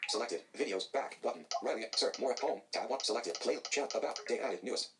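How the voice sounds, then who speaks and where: A male screen reader voice that reads screen items out in flat, synthetic announcements. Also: medium pitch, a little fast.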